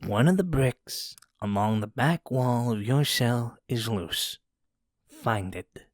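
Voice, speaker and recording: A man's voice: mid-range voice, slow pace, dry recording, studio quality, lightly acted. A man playing a scrappy, sly convict in a fantasy audio drama, with colloquial, flippant speech. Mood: sly, hushed. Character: scrappy, cheeky male convict, sly schemer. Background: music.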